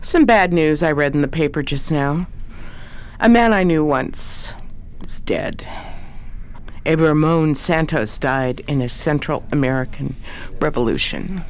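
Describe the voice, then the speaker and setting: An actress playing a shrewd, cool 1920s adventuress who talks tough and wry in a radio crime drama. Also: female voice, low voice, measured pace, slight background noise. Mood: shaken, brusque. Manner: wry, slangy, tough talk.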